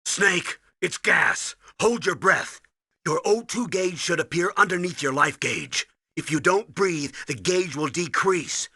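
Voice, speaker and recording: A middle-aged colonel in a video game, stern and urgent. His voice is husky and sharply forceful, giving orders with earnest emphasis, recorded very dry. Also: high voice, a little slow, no room sound, heavily acted. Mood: alarmed. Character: stern, urgent middle-aged male military commander, anxious mentor.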